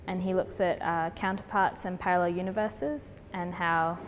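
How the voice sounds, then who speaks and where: A young Colombian-born woman, explaining her artwork in a steady, thoughtful way with frequent fillers. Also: fairly low pitch, moderate pace. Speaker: artist. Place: gallery space. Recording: long reverb with faint background noise.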